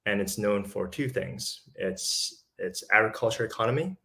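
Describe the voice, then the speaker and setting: A man's voice: lowish voice, normal speed, bright, forceful tone. A man from South Africa, a podcast guest talking casually and thoughtfully, often repeating words as he finds his thought. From born in Lesotho, raised in South Africa. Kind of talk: casual conversation.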